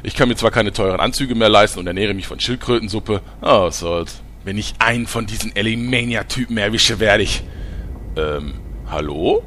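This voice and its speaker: A man's voice: fairly low pitch, moderate pace, a bit bright, close to an everyday voice. Guy playing bitter ex-reporter armor seller, fussy and indignant, comedy radio play, faint background hiss. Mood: self-pitying. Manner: fussy, indignant, insists on haggling. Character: bitter ex-reporter turned haggling armor merchant.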